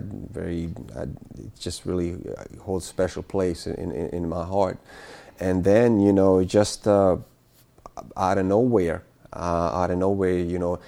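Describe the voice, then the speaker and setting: A man from Bosnia, around forty, recalling his childhood as an interview guest, speaking haltingly with many fillers and restarts. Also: deep voice, vocal fry, dark tone. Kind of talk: casual spoken recollection.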